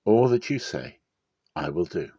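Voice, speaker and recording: A man's voice: low voice, very animated, unhurried, dark tone, slightly rough, dry recording, studio quality, full character voice. A man voicing a humble, grateful young foreign widow, deferential and earnest, in a dramatic scripture reading. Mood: earnest, submissive.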